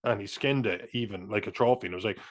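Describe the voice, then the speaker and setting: A man, co-hosting a casual film chat, rambling with restarts and sudden animated asides. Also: lowish voice, fast. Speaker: podcast co-host.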